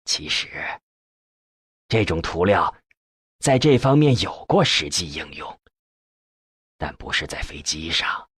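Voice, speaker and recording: A man doing a frail, husky old professor. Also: low voice, very animated, slow, pauses often, a bit bright, no echo, studio quality, voice pushed down, exaggerated voice. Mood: measured, explanatory. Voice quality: weak, breathy, husky. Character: ailing elderly male professor, frail, eager about research.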